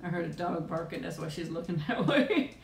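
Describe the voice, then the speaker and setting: A woman's voice: expressive, fairly quick, vocal fry. A woman in middle age hosting a casual live chat with viewers at home, rambling and laughing often, with frequent ums. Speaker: host of a live chat and eating stream. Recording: echoey room with faint rumble.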